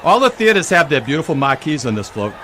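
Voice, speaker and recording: A man's voice: on the high side, very animated, quick pace, sharp tone. A man co-hosting a comic radio parade broadcast, announcing floats and chatting with his fellow commentators. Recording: clearly noisy outdoor broadcast.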